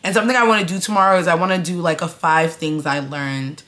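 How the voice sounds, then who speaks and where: Blunt low-voiced woman in her twenties. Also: steady tone, quick pace, fairly bright tone. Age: 20s. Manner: blunt and emphatic, addressing viewers directly. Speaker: vlogger. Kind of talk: casual vlog talk to camera.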